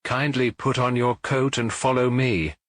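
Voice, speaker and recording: A man's voice: mid-range voice, steady tone, a little slow, a bit bright, dry recording, clean studio sound, pitched above the performer's own voice, natural voice. A man voicing a curt, authoritative small police inspector in a detective audiobook. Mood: commanding. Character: brisk, commanding small male police inspector.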